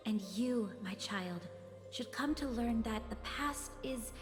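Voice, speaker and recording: A woman playing a solemn, motherly, mysterious messenger in a game, speaking in measured, cryptic, formal phrases. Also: a little high. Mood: gentle, cryptic. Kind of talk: scripted game dialogue. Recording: long reverb, music behind. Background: music.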